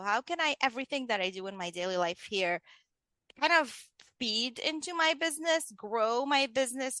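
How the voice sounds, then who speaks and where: A woman's voice: fairly high pitch, pushed and bright, no echo. A woman with a Lebanese Arabic accent, speaking casually as a coach being interviewed. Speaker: interview guest, leadership coach.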